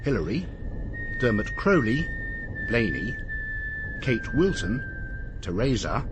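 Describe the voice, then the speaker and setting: A British man announces radio drama credits at a slow, measured pace. His pitch swings widely from word to word, and loud noise runs behind him. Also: sing-song. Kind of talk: scripted closing credits announcement. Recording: loud background noise under the voice.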